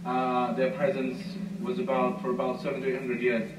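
A man giving a history lecture, telling the story at an unhurried storyteller's pace with frequent "uh" fillers. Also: mid-range voice, monotone, a crowd in the background. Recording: muffled, roomy, far from mic, noisy.

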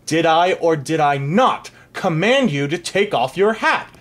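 Man putting on a loud, imperious storybook king. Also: a little high, lively intonation, measured pace. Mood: furious. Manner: loud, demanding, commanding. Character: imperious, hot-tempered king, easily enraged. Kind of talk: children's storybook character voice.

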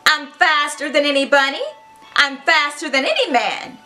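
A woman's voice: sits high, normal speed, pushed and bright, faint music behind. A woman voicing a boastful, speedy hare in a children's storybook, with exaggerated sing-song taunts. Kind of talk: children's storybook read-aloud.

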